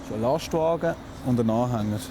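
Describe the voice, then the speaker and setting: A man's voice: medium pitch, a little fast. A man with a Swiss German accent speaking as a police officer, explaining things in a polite, matter-of-fact way. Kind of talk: explaining his work in conversation. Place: on location by a motorway. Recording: clear outdoor traffic and vehicle noise.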